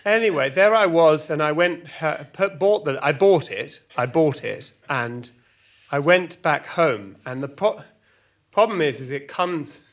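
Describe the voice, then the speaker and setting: A man playing a flustered, exasperated storyteller, halting and repeating himself through a comic monologue full of petty grievances. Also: on the high side, expressive. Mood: wry, rambling. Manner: halting, repetitive, stumbling over words. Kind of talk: rambling semi-improvised comic monologue with acted argument quotes.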